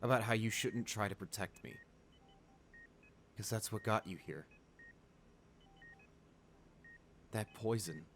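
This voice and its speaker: A man playing a wealthy, devoted client pleading at his comatose lover's bedside in an intimate ASMR roleplay, emotional and halting. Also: on the low side, fairly bright tone, a little hiss or hum. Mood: tender, sorrowful. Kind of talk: ASMR boyfriend roleplay, dramatic monologue.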